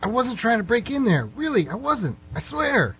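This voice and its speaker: A man's voice: very high voice, sing-song, moderate pace, fairly bright tone, voice pushed up, heavily acted. A man playing a frustrated middle-aged suspect in a radio play, pleading and backtracking as he rambles. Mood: pleading, flustered. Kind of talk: amateur radio play acting. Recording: hum and clear background noise.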